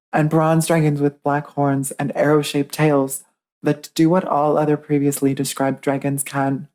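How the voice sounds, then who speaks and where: A performer voicing a grave female TV news anchor, giving a formal, measured breaking emergency report in a scripted drama. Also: mid-range voice, a little mellow, close to an everyday voice.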